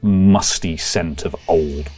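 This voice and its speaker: A man's voice: low-pitched, slow pace, a little mellow, husky, dry recording, faint music behind. Man narrating as game master. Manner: meandering descriptive narration with fillers.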